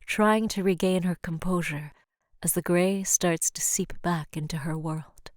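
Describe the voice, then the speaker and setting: A woman reading scripted audio drama narration in a deliberate, somber storytelling manner. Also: fairly low pitch, dry recording, clean studio sound.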